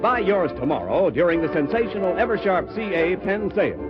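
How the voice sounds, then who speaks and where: A man's voice: sits high, expressive, a little fast. An American man announcing and reading ads on 1940s radio in an emphatic, polished sales manner. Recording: muffled 1940s radio recording, music.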